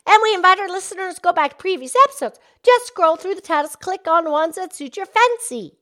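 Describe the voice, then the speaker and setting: Podcast cartoon pig, played by a woman: high-pitched, colloquial, exclaiming, eager and folksy. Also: expressive, a little fast, pushed and bright, heavily acted. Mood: cheerful. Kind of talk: playful podcast banter.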